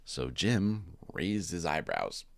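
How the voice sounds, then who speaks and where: A man reading a children's storybook aloud in animated narration with casual asides, hosting as he goes. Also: deep voice, slow, vocal fry, no room sound, clean studio sound. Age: adult parent. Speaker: storybook reader and channel host.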